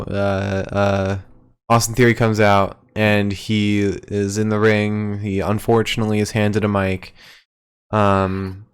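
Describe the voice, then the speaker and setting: A man's voice: on the low side, clean tone. A man co-hosting a wrestling podcast, recapping in a rambling, hesitant way with lots of fillers. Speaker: podcast co-host. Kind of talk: casual wrestling recap chat.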